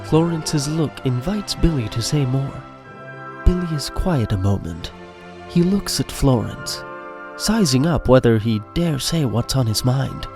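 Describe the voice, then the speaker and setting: A man's voice: medium pitch, lively intonation, unhurried, creaky. A man reading scripted narration for an audio drama. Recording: music under the voice.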